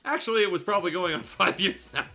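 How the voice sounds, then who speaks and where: A man's voice: high voice, lively intonation, fast talker, pushed and bright. A man reading fan fiction aloud for comedy as a show host, breaking off into casual, irreverent, sweary asides.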